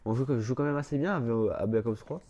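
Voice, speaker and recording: A man's voice: mid-range voice, brisk, warm tone, highs cut off. A man with a blocked, stuffy nose giving casual gameplay commentary, rambling and hesitant, full of fillers and restarts. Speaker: gaming YouTuber.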